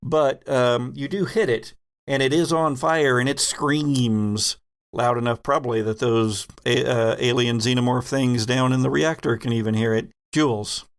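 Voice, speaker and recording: Male game master for sci-fi horror roleplay: mid-pitched, slow and deliberate storytelling. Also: fairly even intonation, clear, resonant tone.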